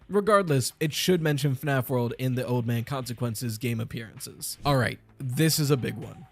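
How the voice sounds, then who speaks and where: A man hosting a casual commentary on video game lore, chatty and nitpicky as he picks apart details. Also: on the high side.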